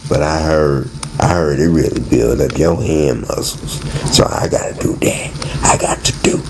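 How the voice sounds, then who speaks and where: A man's voice: a bass voice, normal speed, very noisy. A man giving a casual, rambling spoken monologue in slang, often repeating words and restarting phrases. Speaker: narrator and storyteller.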